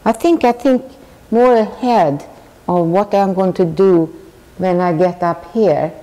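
Older Swedish-accented woman, low voice. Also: mellow, long reverb tail, poor audio. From Sweden. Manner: thoughtful, earnest, halting restarts. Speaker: tapestry artist. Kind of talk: interview answers. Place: large weaving studio with looms.

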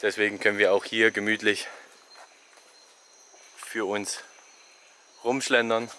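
A man's voice: fairly low pitch, unhurried, a little hiss or hum. A young man vlogging while he walks through a rainforest park, filming himself at arm's length and casually giving travel tips. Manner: relaxed, chatty, giving tips. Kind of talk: casual walking vlog commentary. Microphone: selfie camera at arm's length.

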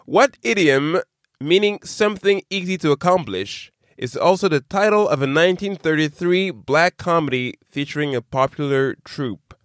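A man hosting a casual trivia podcast, reading quiz questions slowly and deliberately, then chatting loosely between them. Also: fairly high pitch, fairly bright tone, no room sound, clean studio sound. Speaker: quizmaster.

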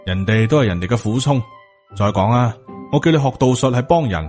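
A man with a Hong Kong Cantonese accent voicing a stoic, world-weary Taoist master in a story, flat and lecturing. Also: medium pitch, brisk, faint music behind, lightly acted. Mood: stern, calm.

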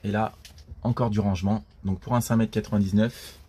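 A man's voice: on the low side, fairly even intonation, brisk, noisy background. A man, casually walking through a vehicle's features as he films inside a small camper van. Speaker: camper van dealer, co-presenter filming. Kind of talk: casual product walkthrough. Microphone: held camera, speaker behind it.

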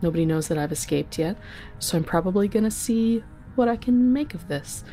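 A woman with a soft-edged, mellow voice describes her moves in a tabletop game. She speaks casually, with faint music underneath. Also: medium pitch, lively intonation, fairly quick. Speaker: guest tabletop game player.

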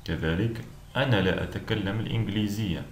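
Low-voiced man drilling phrases slowly. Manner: clear, deliberate pronunciation, repeats each phrase. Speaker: language teacher. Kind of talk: slow repeated phrase drills. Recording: echoey room with faint noise.

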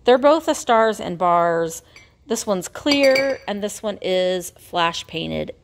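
A woman's voice: mid-range voice, expressive, slow, noisy background. A woman in her forties chatting casually through a vintage haul video, rambling with fillers and self-corrections, filmed on a close phone camera inside an antique shop. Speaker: vintage reseller and vlogger. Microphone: phone camera held close.

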